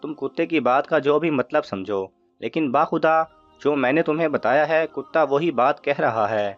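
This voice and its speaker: A man's voice: fairly high pitch, lively intonation, close to an everyday voice. A man voicing an earnest, insistent thief in a children's storybook tale. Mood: amazed, insistent. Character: earnest, insistent male thief who understands dogs. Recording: muffled audio with music underneath.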